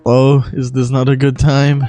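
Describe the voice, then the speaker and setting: Child-like female game deity, voiced by a man: incongruously deep, polite, mild, unhurried. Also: monotone, dark tone, soft background music, natural voice.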